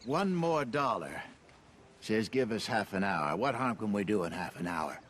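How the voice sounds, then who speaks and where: A man's voice: lowish voice, animated, normal speed, fairly bright tone, slight background noise. An actor playing a scheming male conman posing as a traveling advertising man, with a theatrical, coaxing manner, over game music. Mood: sly. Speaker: con artist.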